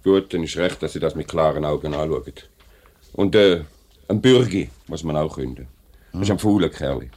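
Fair-minded middle-aged factory boss, very low voice, Swiss German, polite and deliberate, vintage radio play. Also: male voice, a little mellow. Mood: polite, firm. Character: measured, fair-minded male factory director. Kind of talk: 1950s Swiss radio drama acting.

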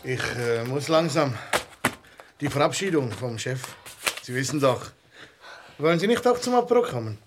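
An actor playing a timid, dreamy office clerk in a radio drama, with a Swiss German accent and a hesitant, awkward manner. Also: male voice, medium pitch, animated, background noise, lightly acted. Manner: hesitant, halting, mumbling.

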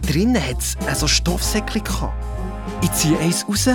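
An excitable young boy detective acted by a male performer speaks with a Swiss German accent over clearly audible music. He tells his adventure in breezy, colloquial language with a boastful, bickering edge, as in a children's audio drama. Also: fairly high pitch, normal speed, natural voice. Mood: eager storytelling.

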